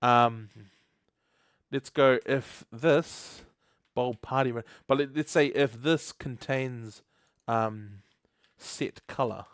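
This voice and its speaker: Young kiwi dude live coding, chats with viewers a lot, dry close sound. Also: male voice, mid-range voice, takes it slowly, no echo. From New Zealand. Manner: chatty, laughs often, reads chat aloud. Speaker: livestream host. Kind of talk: casual live coding stream.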